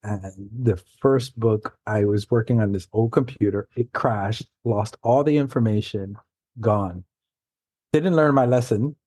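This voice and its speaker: A man's voice: lowish voice, mellow, dry recording. A man in his forties from New York City, a native Spanish speaker, telling rambling, self-correcting stories as a guest author in a casual interview. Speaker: guest, science fiction author.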